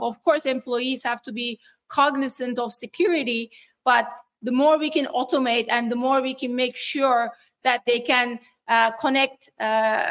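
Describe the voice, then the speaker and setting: A woman's voice: high voice, fairly bright tone. Turkish-accented woman explaining tech at length. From Turkey. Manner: explanatory, many fillers and restarts. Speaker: cybersecurity executive guest. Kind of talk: interview answers.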